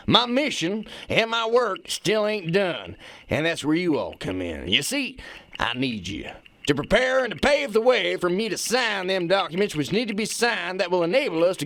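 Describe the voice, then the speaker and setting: An actor playing a pompous, folksy, bullying kingpin boss who boasts in a drawl, in a satirical radio drama. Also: male voice, sits high, creaky, a bit bright, no echo, exaggerated voice.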